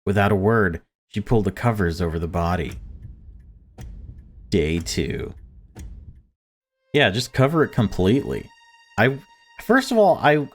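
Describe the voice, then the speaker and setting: A man reading a visual novel aloud as a let's player, breaking off into casual commentary. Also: fairly low pitch.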